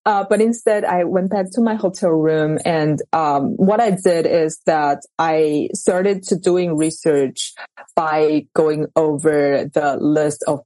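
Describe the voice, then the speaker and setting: A woman from Taiwan, a guest author, speaking softly and haltingly with many fillers in a casual interview. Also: sits low, fairly even intonation, dry recording, poor audio.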